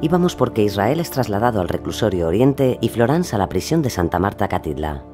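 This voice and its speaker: A man's voice: on the low side, sing-song, a little fast, over music. A man delivering scripted, dramatic narration for a true-crime audio documentary.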